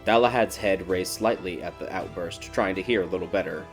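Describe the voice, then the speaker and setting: A man reading a scripted dark fairy tale as a dramatic audiobook narrator. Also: low-pitched, a little fast. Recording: background music behind the voice.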